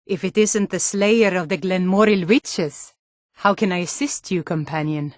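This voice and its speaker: A woman's voice: on the low side, measured pace, dry recording, studio quality, natural voice. A woman voice actor playing a tough, wary female Nord city guard in a fantasy video game, with blunt, colloquial delivery. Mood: respectful. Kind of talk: scripted fantasy game dialogue in short standalone lines.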